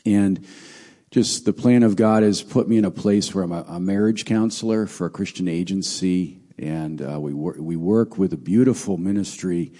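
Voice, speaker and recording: Deep-voiced visiting pastor losing his voice. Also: big-room sound. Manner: warm, halting with many fillers. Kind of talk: sermon with opening prayer.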